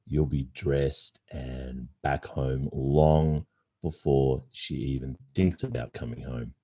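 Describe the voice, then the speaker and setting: A man's voice: a bass voice, steady tone, takes it slowly, mellow, full character voice. A man with an Australian accent acting a cheating, casually evasive young boyfriend in an ASMR roleplay, speaking conversationally with hesitations. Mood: playful, dismissive. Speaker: roleplay performer.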